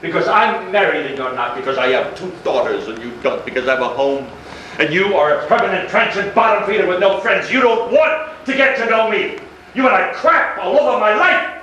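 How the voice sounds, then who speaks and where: A man's voice: high voice, expressive, fairly quick, bright, forceful tone, highs cut off. An actor playing a sarcastic, menacing married middle-class man in a stage play, needling in a theatrical manner. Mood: angry, confrontational. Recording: echoey, distant, noisy live stage recording.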